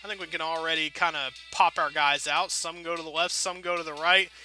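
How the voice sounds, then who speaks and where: A man's voice: a little high, steady tone, fairly quick, sharp tone, faint music behind. A man giving casual, rambling video game commentary as a let's play host, wordy, wry and self-deprecating.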